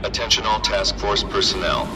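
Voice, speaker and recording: An actor playing a curt, military-style task force commander making an urgent announcement in a video game. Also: male voice, fairly low pitch, little pitch movement, normal speed, noisy background, close to an everyday voice. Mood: commanding. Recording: distant announcement under loud music.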